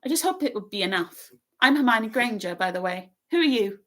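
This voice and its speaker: A woman voicing a bossy, eager young schoolgirl who talks very fast. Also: high voice, big pitch swings, fairly bright tone, pitched above the performer's own voice, exaggerated voice. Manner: fast, breathless, self-important.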